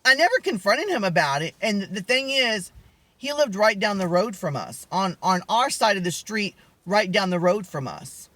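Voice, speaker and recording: A young man with a high voice, telling personal stories in a rambling, candid way, often losing his thread. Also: very animated, moderate pace, sharp tone, dry recording. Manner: rambling, candid, lots of restarts and asides. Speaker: vlogger. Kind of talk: casual storytelling to camera.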